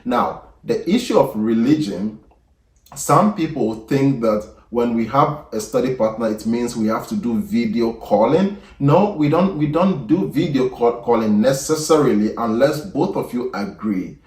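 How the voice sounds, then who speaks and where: A man hosting an exam prep channel, giving unscripted study advice in a measured way with frequent fillers and restarts. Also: mid-range voice, a little mellow. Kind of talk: unscripted advice talk to camera. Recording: echoey room, voice from a distance.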